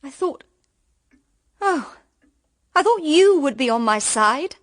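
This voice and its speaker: A woman's voice: high voice, big pitch swings, takes it slowly, no room sound, studio quality, full character voice. An actress playing a naive, romantic young British daughter in a period radio drama, with an earnest, girlish delivery. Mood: hurt, unsure.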